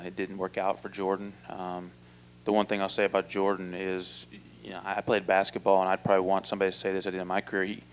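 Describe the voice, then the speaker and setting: Flat-voiced coach at a postgame podium. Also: male voice, fairly low pitch, slight background noise. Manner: measured, flat delivery with many fillers and restarts. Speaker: basketball head coach. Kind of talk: postgame press conference answers. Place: indoor press room. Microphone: table mic in front.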